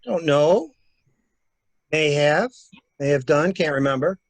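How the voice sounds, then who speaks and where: A man's voice: a little high, lively intonation, takes it slowly, dry recording. A man playing a polite, evasive traveler with clipped, hesitant replies in a murder mystery reading. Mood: guarded, polite. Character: polite, halting stranded male traveler, evasive witness.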